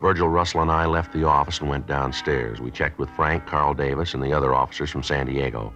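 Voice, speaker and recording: An actor playing a terse, matter-of-fact police sergeant in an old-time American radio crime drama. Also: male voice, very deep voice, steady tone, a little fast, fairly bright tone. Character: terse, businesslike male police sergeant, posing as buyer. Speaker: police detective narrator. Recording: dull vintage radio recording, music behind.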